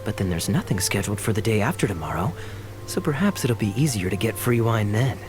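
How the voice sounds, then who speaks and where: Man voicing a suave, teasing knight, animated delivery. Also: fairly low pitch, brisk, soft background music, close to an everyday voice. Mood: sly, playful. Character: suave, teasing young male knight, easygoing and sly. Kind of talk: video game voice acting.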